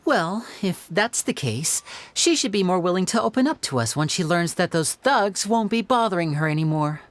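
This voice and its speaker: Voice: mid-range voice, very animated, moderate pace, natural voice. An actor playing a suave young male magician in an anime game, composed, courteous and theatrical. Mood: thoughtful.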